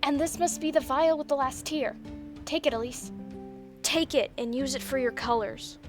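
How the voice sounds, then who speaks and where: A woman's voice: high-pitched, moderate pace, close to an everyday voice. A woman voicing a young, earnest, commanding magical girl heroine in a comic fan dub. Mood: gentle, solemn. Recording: loud music behind the voice.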